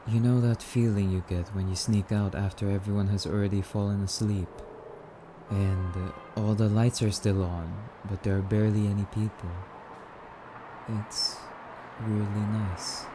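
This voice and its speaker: A man's voice: fairly low pitch, flat delivery, moderate pace, music in the background, natural voice. A man playing a courtly, wistful male vampire in an ASMR roleplay, speaking in a musing, slightly archaic, haughty yet polite manner. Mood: dreamy, musing. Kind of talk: ASMR roleplay monologue addressed to listener.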